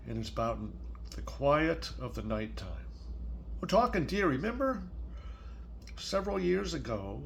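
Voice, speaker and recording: Slow-paced older man reading to a child. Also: medium pitch, vocal fry. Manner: gentle, conversational, explaining simply to a child. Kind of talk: casual read-aloud storytelling for a child.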